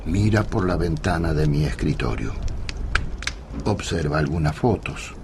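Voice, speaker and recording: A man giving reflective scripted narration for a radio drama, measured and pensive. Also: very deep voice. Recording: clear background ambience noise.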